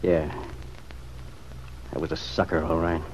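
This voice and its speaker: An actor in an old radio drama playing a stubborn, bitter young war veteran with a sardonic, slangy way of talking. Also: male voice, extremely low pitch, level tone, unhurried, darkish tone. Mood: defensive. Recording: dull, noisy vintage radio recording.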